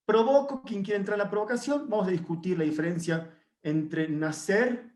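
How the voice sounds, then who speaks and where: A man speaking as a guest in a virtual conference discussion, deliberate and provocative, over a video call. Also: high voice, a little mellow, echoey room.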